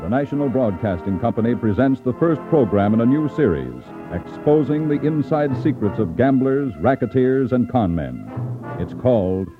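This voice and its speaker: A man's voice: mid-range voice, big pitch swings, moderate pace, music in the background. A man announcing a scripted program in dramatic 1950s radio style. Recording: tinny, narrow 1950s radio recording.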